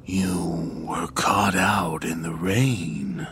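An actor playing a falsely tender, sinister house spirit in a horror audio drama, speaking in a hushed, soothing, coaxing way. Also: male voice, deep voice, steady tone, very slow, slight background noise, exaggerated voice. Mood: soothing, protective.

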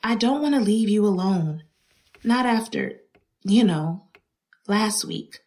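A woman's voice: mid-range voice, expressive, slow, a little mellow. A woman playing a blunt, sardonic but protective bookstore worker in a radio drama. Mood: uneasy, caring.

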